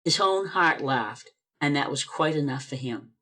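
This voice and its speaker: Narrator, steady expressive storybook reading, video call. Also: medium pitch, a little slow. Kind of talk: storybook narration of a radio play.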